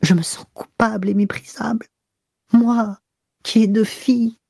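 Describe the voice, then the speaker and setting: A man's voice: high-pitched, steady tone, moderate pace, darkish tone, slightly rough, no room sound, studio quality, pitched above the performer's own voice. A man voicing a middle-aged married woman who confesses a secret love in a breathless, tearful whisper. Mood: anguished.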